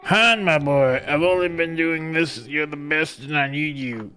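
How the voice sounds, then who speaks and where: A man's voice: fairly high pitch, unhurried, sharp tone, soft background music. A man playing a gangster crime boss in a script read, bargaining in a businesslike way with menace underneath. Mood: wheedling, threatening. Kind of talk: amateur table read of a film script.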